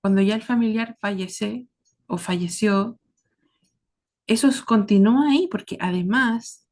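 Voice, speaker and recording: A woman with a Chilean accent giving a reflective monologue to her listeners, speaking earnestly and deliberately in short, paused phrases. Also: mid-range voice, a little mellow. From southern Chile. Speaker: host of a series about caregiving.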